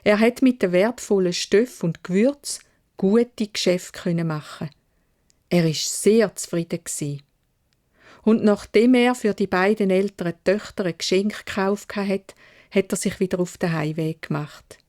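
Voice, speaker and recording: A woman's voice: mid-range voice, measured pace, darkish tone. A woman with a Swiss German accent narrating a children's fairytale in dialect as a storyteller.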